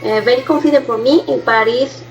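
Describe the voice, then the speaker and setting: A woman student speaking in a class presentation, hesitant and halting, with many fillers and restarts in learner's English. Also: a little high, darkish tone. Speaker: language student. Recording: dull lo-fi class recording.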